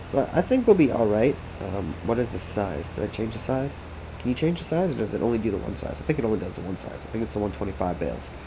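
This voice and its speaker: Low-voiced congested man playing a game. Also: background noise. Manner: rambling, thinking aloud to himself and chat. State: congested, sniffly. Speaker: gaming streamer. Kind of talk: casual farming game commentary.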